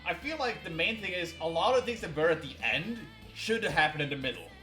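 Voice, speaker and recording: A man's voice: a little high, lively intonation, a little fast, sharp tone, soft background music. A man streaming with friends, chatting casually about a video game in a roomy-sounding recording. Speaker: streamer and fandub performer.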